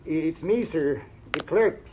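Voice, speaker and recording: An actor playing a nervous, timid hotel clerk with a hesitant stammer in an old-time radio western. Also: male voice, a little high, slow pace. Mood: anxious. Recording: noisy old radio recording.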